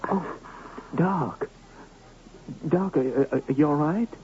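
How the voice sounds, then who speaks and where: A man's voice: on the high side, takes it slowly, warm tone. An actor playing an earnest, anxious young man in a Western radio drama. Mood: distressed, earnest. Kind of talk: 1970s radio drama acting. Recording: muffled, noisy 1970s radio drama recording.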